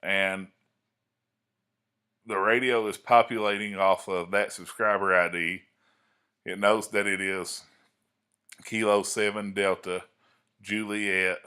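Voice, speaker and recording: A man with a deep voice and a clear-edged, bright tone speaks. He presents an informal tutorial in a folksy, explanatory manner, pausing to check that the listener follows. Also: fairly even intonation. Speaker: amateur radio hobbyist presenting a tutorial. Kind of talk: informal screen-recorded tutorial.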